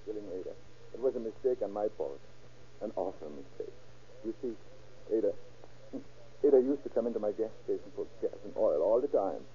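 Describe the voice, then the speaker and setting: An actor playing a cornered, guilt-ridden murder suspect in a 1930s radio crime drama, halting and stammering as he pleads. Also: lowish voice, lively intonation, warm tone, a little hiss or hum, natural voice. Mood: haunted confession. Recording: muffled, tinny old-time radio recording.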